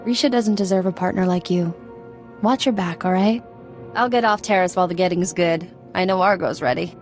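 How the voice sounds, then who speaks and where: A woman's voice: fairly low pitch, brisk, soft background music, close to an everyday voice. A woman playing a tough, lonely outlaw in a video game, plain-spoken and guarded. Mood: brisk. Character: tough, lonely female outlaw with a soft spot.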